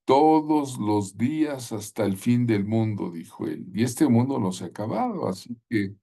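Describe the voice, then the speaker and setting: A man teaching a Bible school class in a preaching style. He talks deliberately, often pausing on "eh", adding tag questions, and calling on listeners at home to repeat phrases. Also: mid-range voice, expressive, dark tone.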